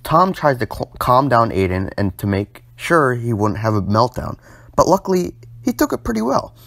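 A man's voice: mid-range voice, very animated, moderate pace, gravelly, a bit bright, dry recording, studio quality. A young man narrating a storytime video informally, with a sardonic manner and bursts of exaggerated emphasis.

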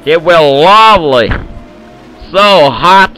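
Man, high voice, Eastern European accent, slow boastful broken-English trolling on a headset chat. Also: animated, pushed and bright, soft background music, low-quality recording. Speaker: voice chat player. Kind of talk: prank call banter in game voice chat.

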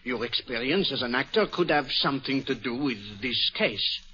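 An actor playing a fussy, clever Belgian detective with a French accent, in theatrical 1940s radio acting. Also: male voice, medium pitch, normal speed. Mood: probing. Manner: theatrical, lively, coaxing and ironic. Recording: dull old radio broadcast.

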